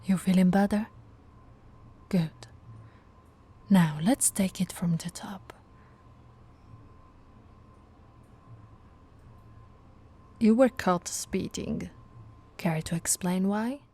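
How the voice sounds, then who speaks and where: Slow, coaxing flirty policewoman, voiced by a woman. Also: mid-range voice, slightly rough. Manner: soft-spoken, coaxing, playfully flirtatious. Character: patient, teasing female police officer. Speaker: ASMR voice actress. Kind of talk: ASMR audio roleplay.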